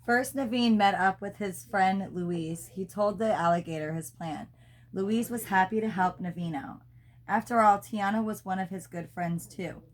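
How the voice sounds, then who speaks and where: A woman's voice: mid-range voice, normal speed. A woman reading a children's storybook aloud, as a mother does for her child.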